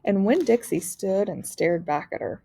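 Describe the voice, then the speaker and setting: A woman's voice: mid-range voice, animated, normal speed, vocal fry, dark tone, husky. A woman narrating a children's novel aloud, as a teacher reads to a class.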